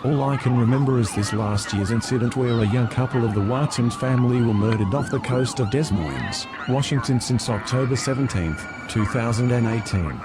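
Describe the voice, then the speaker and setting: A man's voice: mid-range voice, normal speed, hardly any pauses, loud music behind the voice, natural voice. A man playing a TV field reporter, giving a scripted, serious live report from a crime scene. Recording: very loud sirens and traffic noise. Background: police sirens, vehicles, street ambience.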